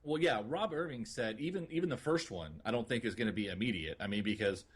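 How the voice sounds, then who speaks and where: A man speaks in a husky, mid-range voice as a podcast co-host. His delivery is casual and conversational, rambling a little as he rewords his points. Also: mid-range voice, fast. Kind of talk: casual podcast chat about gaming.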